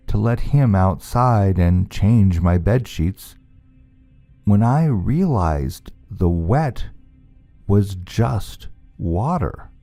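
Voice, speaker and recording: A man narrating a scary story from a script in a measured, deliberate storytelling manner. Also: fairly low pitch, warm tone, dry recording.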